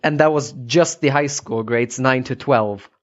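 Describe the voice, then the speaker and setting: A man's voice: medium pitch, unhurried, dull audio. A man hosting a casual interview, chatting informally and asking questions. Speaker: podcast host, interviewer.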